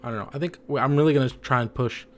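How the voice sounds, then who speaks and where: A young man chatting loosely on a podcast he co-hosts, a little tipsy. His talk wanders, full of ums and likes, in a mid-pitched everyday voice. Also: racing. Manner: rambling, heavy on fillers. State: a bit tipsy from drinks. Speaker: podcast co-host. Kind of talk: casual chat.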